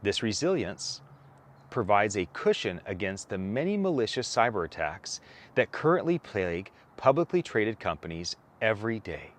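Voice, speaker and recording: A man reading a formal document aloud as scripted narration, enunciating deliberately. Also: lowish voice, big pitch swings.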